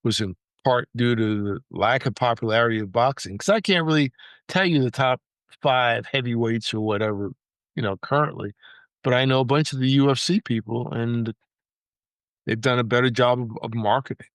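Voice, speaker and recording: A man's voice: medium pitch, normal speed, clean tone, no echo. An American man co-hosting a podcast in a casual, chatty style. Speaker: podcast co-host. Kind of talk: casual chat.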